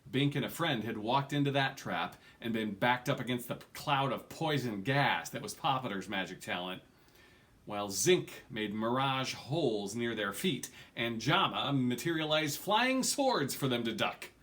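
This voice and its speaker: A man's voice: medium pitch, lively intonation, unhurried, fairly bright tone. A middle-aged man reading a fantasy novel aloud at home, expressively, stressing key words. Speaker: amateur book reader. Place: small furnished room at home.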